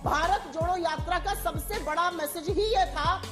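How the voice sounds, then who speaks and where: A woman speaks in a high voice, arguing politics emphatically. Her phrasing is rhetorical, and loud hip hop music plays under the speech. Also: moderate pace. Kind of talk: forceful political argument.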